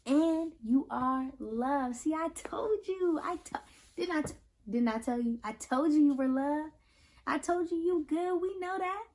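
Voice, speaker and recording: High-pitched, reassuring American woman reading to children. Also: unhurried. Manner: affirming, reassuring, speaks directly to young listeners; expressive, repeats phrases for emphasis. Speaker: children's read-aloud host. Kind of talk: picture book read-aloud with talk to children.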